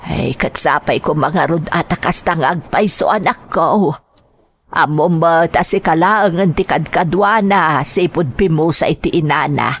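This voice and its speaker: A woman's voice: low voice, measured pace, husky, full character voice. A woman playing a caring elderly mother and grandmother in a radio drama, plaintive and motherly, troubled by aches. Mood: concerned, reassuring. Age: older.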